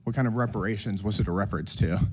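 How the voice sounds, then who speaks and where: A man with a voice hoarse from a cold, questioning a witness pointedly at a congressional hearing. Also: lowish voice, racing. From United States. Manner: pointed, prosecutorial, reads quotes back. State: losing his voice from a cold.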